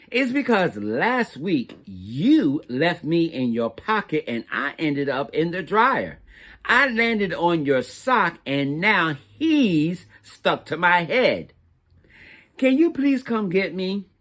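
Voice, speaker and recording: A man's voice: on the high side, a bit bright, heavily acted. Man voicing a bewildered, plaintive storybook crayon. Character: bewildered turquoise crayon stuck to a smelly sock.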